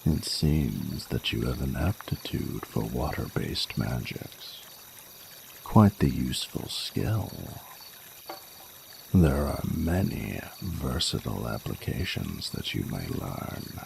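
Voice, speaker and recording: A man's voice: rumbling low, very animated, vocal fry, background noise, exaggerated voice. A man voicing a flirty, courtly male dragon in a romantic audio roleplay, speaking formally and soothingly in intimate ASMR style. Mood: admiring, encouraging. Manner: formal, flowery, unhurried, soothing speech. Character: ancient-sounding flirty male dragon, tender, courtly lover.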